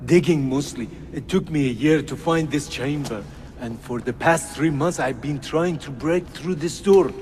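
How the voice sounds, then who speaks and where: A man's voice: a little high, moderate pace, darkish tone, natural voice. An actor playing a humble, frightened male labourer in a video game, speaking in a pleading, deferential way. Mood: nervous, explaining. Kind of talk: video game cutscene acting. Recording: long cavernous reverb, faint ambient noise.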